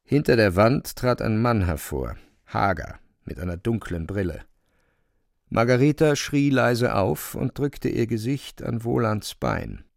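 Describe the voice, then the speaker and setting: A man with a gravelly voice reading scripted literary narration as the narrator of a drama. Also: medium pitch, expressive, a little slow, no room sound, studio quality.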